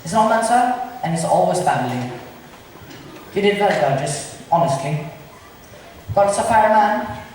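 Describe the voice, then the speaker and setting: A woman's voice: lowish voice, expressive, unhurried, pauses often, darkish tone. A woman playing a pompous, patronizing, bumbling male constable in an amateur Shakespeare stage comedy. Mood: self-important. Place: amateur stage performance in a reverberant room. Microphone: distant recorder. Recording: echoey room, distant mic, faint noise.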